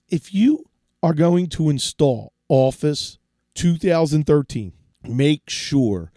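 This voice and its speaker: A man's voice: mid-range voice, mellow, no echo, clean studio sound. A middle-aged man hosting a tech podcast, talking casually and giving advice with frequent restarts, his voice sore and fading from a cold. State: sore throat, losing his voice. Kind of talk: casual solo tech talk.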